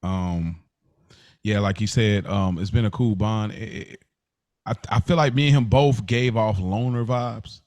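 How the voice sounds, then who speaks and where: A man's voice: fairly low pitch, a little slow, a little mellow, dry recording. A man speaking casually and earnestly in informal slang, telling personal stories as a podcast co-host. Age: adult.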